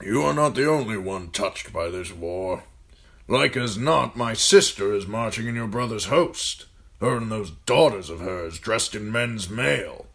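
A man voicing a gruff, loud old commander, blunt and needling. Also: medium pitch, pitched above the performer's own voice, exaggerated voice. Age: older. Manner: blunt, needling, barking questions. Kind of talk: audiobook character voice.

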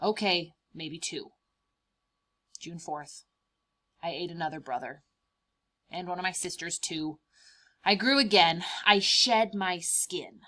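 A woman voicing a cheerful, boastful young praying mantis in a picture-book read-aloud, expressive and emphatic, stretching out words. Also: fairly low pitch, takes it slowly, pauses often, voice pushed down, full character voice. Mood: matter-of-fact, hungry.

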